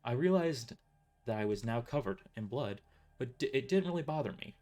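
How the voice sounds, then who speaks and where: A man's voice: mid-range voice, animated, a little fast, darkish tone. A man reading a first-person story as a dramatic narrator, occasionally stumbling over his words. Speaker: author narrating own novel.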